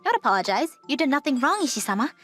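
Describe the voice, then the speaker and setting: A woman playing a kind, professional young travel agent in a manga dub, gently firm, polite and composed. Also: high voice, sing-song, very fast, soft background music. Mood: gentle, reassuring. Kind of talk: anime-style manga dub acting.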